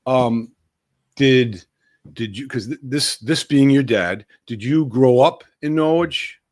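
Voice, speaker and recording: A middle-aged man co-hosts a casual local interview. He is chatty and curious, readily chiming in and asking question after question in a medium-pitched voice. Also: slow. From Westerly, United States. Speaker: show co-host.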